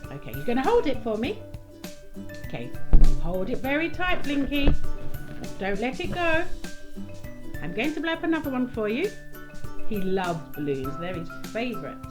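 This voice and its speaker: Woman as kids' puppet show presenter; swooping, animated delivery, coaxing questions, music underneath. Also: fairly high pitch, normal speed, pauses often. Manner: sing-song, coaxing, simple phrases with many questions. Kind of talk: children's entertainer talk to a puppet and young audience.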